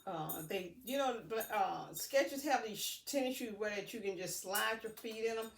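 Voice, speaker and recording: A woman's voice: mid-range voice, moderate pace, vocal fry. A woman vlogger chatting casually to viewers in a rambling, folksy way, often thinking aloud. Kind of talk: casual unboxing vlog chat to viewers. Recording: roomy, distant mic, faint noise.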